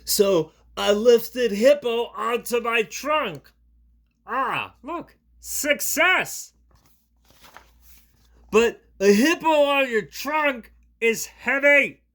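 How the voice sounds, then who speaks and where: A man voicing a worried big elephant from a children's picture book, slow, loud and emphatic. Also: very high voice, animated, pitched above the performer's own voice, exaggerated voice. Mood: storytelling. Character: worried big elephant, storybook best friend.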